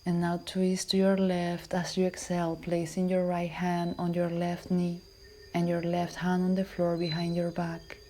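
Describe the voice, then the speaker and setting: A woman yoga instructor guiding a class in a calm, measured, soothing voice that is soft and at times breathy. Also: lowish voice, slight background noise. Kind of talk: guided yoga and relaxation instruction.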